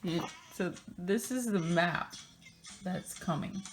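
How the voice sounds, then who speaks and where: A woman's voice: fairly low pitch, takes it slowly, soft background music. A woman reading a book aloud in a theatrical, mocking way, breaking into casual, sardonic asides of her own.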